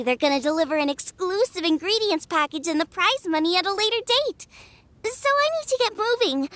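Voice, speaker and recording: A woman's voice: extremely high pitch, a bit bright, dry recording. A woman playing a cheerful, eager and humble young girl chef in a video game. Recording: music behind the voice.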